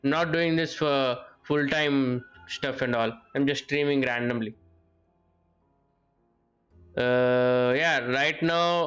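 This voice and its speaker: A man live streaming a game, chatting casually and haltingly as he comments. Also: mid-range voice, a bit bright, dull audio. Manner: halting, repeats the game dialogue. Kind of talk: casual gameplay commentary and chat.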